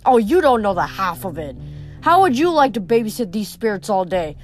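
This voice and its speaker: A woman's voice: mid-range voice, very animated, fairly quick, lightly acted. A woman reading an audiobook voices a dry, greedy old male ferryman of the dead, with faint music behind. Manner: dry, sardonic, haggling.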